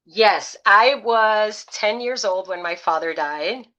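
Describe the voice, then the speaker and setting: A woman's voice: medium pitch, takes it slowly, a bit bright, dull audio. A middle-aged woman from Puerto Rico, a salsa singer chatting as an interview guest, upbeat and full of uh and um fillers. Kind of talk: casual interview chat.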